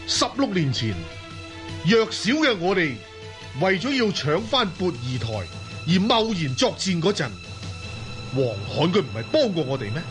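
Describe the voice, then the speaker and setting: A loyal, shrewd Mongol leader in his forties played by a male actor, with a fairly high, animated voice. He declaims in Cantonese radio drama style, firm and respectful, with faint music underneath. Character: forty-one-year-old Mongol warlord, loyal, shrewd, respectful leader.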